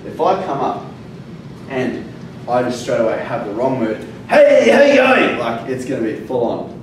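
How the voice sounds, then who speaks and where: Man lecturing in an echoey room. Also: medium pitch. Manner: emphatic, stresses words for effect, frequent fillers. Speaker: fitness educator. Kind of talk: classroom lecture. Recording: very echoey room from far off, noisy.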